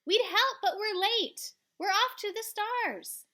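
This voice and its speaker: A woman voicing an excitable, imaginative child in a storybook, calling out exuberantly. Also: very high voice, takes it slowly, pitched above the performer's own voice, exaggerated voice. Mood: exuberant yelling. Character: excitable, imaginative child narrator shouting goodnights on adventure.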